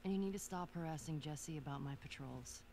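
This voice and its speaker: A woman's voice: sits low, fairly quick. A guarded, resentful young woman. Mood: curt. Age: young adult. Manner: clipped, hushed, terse. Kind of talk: video game cutscene acting. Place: night porch. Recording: game audio with clear background noise.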